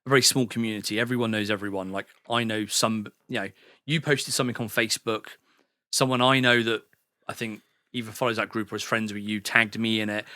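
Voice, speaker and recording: A man's voice: lowish voice, fairly even intonation, fairly quick, sharp tone, no echo, clean studio sound. A man from the UK, a podcast guest in a sauna house, chatting casually and rambling with many fillers and restarts. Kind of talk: casual chat.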